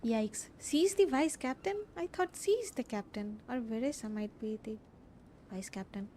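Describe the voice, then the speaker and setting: A woman's voice: fairly high pitch, unhurried, warm tone, a little hiss or hum. A woman from India with an Indian accent, chatting casually as she live-streams a video game. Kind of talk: casual live game commentary, reading dialogue choices aloud.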